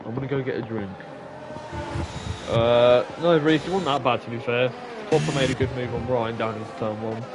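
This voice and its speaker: A man's voice: medium pitch, normal speed, rough recording. A man giving casual, rambling commentary on an online racing league, talking straight to his listeners and losing his voice. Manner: rambling, talks straight to the listeners, excited at big moments. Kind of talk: casual live race commentary. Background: loud music and car engines.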